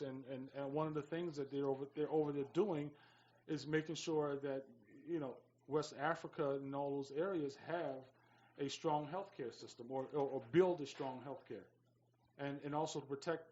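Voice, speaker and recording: A man on a talk radio panel discussing things casually and haltingly, often repeating his phrases. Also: mid-range voice, steady tone, a little mellow, highs cut off. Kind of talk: casual current-affairs discussion.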